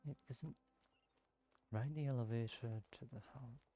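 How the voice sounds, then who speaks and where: A man's voice: medium pitch, measured pace, dark tone. A man giving casual gameplay commentary, muttering at himself in exasperation. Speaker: gameplay streamer.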